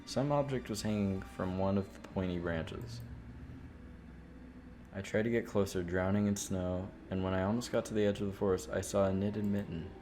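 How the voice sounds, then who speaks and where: A man's voice: deep voice, steady tone, moderate pace. A man streaming a visual novel, reading its horror narration aloud expressively and dropping in casual asides. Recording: loud music under the voice. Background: game music.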